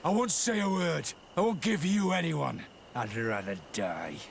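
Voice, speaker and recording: A man's voice: a little high, animated, unhurried, vocal fry, a little hiss or hum. An actor playing a menacing, contemptuous male gang leader in a video game. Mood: defiant. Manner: contemptuous, threatening.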